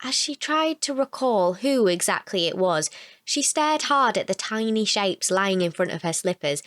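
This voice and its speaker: A woman reading a scripted short story as narrator, with expressive storybook delivery. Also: mid-range voice, normal speed, no echo, clean studio sound.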